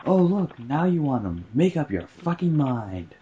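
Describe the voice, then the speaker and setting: A man's voice: on the high side, sing-song, normal speed, narrow, tinny audio. A man chatting casually and jokingly about a film with friends over a voice call. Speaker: co-host of a movie riffing show. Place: remote voice call, indoors. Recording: faint noise on voice call.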